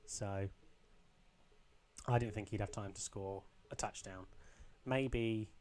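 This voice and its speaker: A young man with a slightly croaky voice, casually commentating his own football video game match, rambling with ums and restarts. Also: on the low side, steady tone, slow pace, a little mellow. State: a bit croaky. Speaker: gaming channel host.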